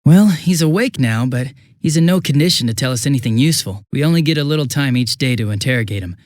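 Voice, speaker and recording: A man voicing a young police detective in a video game, affable and easily flustered, with a casual, hesitant and excitable way of talking. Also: medium pitch, quick pace, no echo, studio quality, lightly acted. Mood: earnest, apologetic. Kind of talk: English video game voice acting.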